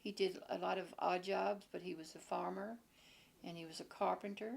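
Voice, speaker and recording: A woman's voice: fairly low pitch, fairly even intonation, normal speed, gravelly, slight background noise. A woman in her seventies from Virginia, carefully recalling her parents in an oral history interview. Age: 70s.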